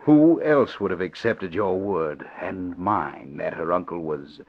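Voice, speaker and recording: An actor playing a sly, sardonic sanitarium doctor with a dry, mocking, self-satisfied manner, in 1940s radio acting style. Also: male voice, low-pitched, animated, unhurried, exaggerated voice. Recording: dull, muffled old radio recording.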